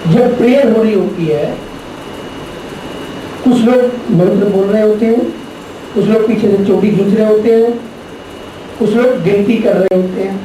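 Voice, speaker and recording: Man, spiritual lecturer, unhurried, rhetorical questions, distant noisy room recording. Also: sits high, mellow, heavy reverb. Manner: slow, deliberate teaching with rhetorical questions and repeated phrases. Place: large echoey classroom. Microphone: distant room mic.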